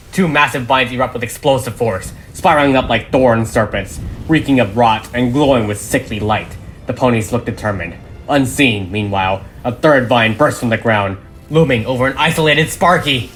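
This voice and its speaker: Narrator for audio drama script reading: bright, forceful, dramatic delivery, music underneath. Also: medium pitch, moderate pace, poor audio. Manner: dramatic, reads stage directions.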